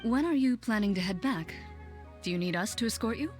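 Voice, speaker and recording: A woman voicing a composed, reserved, dutiful bodyguard in a video game, courteous and dry, with measured, formal speech. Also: fairly high pitch, expressive, darkish tone, faint music behind, lightly acted. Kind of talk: video game character acting.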